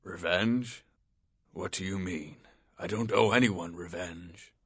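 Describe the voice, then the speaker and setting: A man playing a big, brooding executioner in an audio drama, terse, suspicious and intense, with a rough, husky voice. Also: sits low, slow, no room sound, studio quality, exaggerated voice. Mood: guarded, suspicious.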